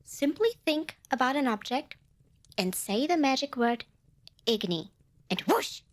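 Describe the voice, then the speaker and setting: Woman acting as small cute demon girl, high voice, swooping intonation, nervous, bubbly, salesy, polite, ASMR roleplay. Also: lively intonation, unhurried. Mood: eager salesmanship. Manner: hesitant stammering, bubbly, over-polite. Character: cute little female demon, eager, nervous, cheekily salesy.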